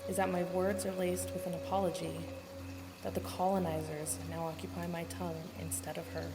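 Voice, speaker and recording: A woman with a Canadian accent reciting spoken-word poetry in a measured, solemn way. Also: fairly low pitch, level tone. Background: loud music.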